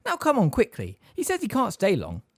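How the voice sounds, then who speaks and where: A narrator voicing a brisk, motherly middle-aged woman in a storybook, chiding and urging. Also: huge pitch swings, breathy edge, dry recording, studio quality, full character voice. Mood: brisk, reproving. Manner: chiding, urging, high-voiced.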